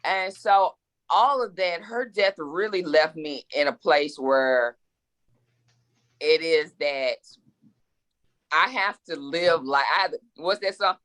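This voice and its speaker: A woman's voice: low-pitched, slow, pauses often, fairly bright tone. A woman in her forties, a guest author in a casual interview, speaking reflectively and with emphasis, often repeating words as she thinks. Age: 40s.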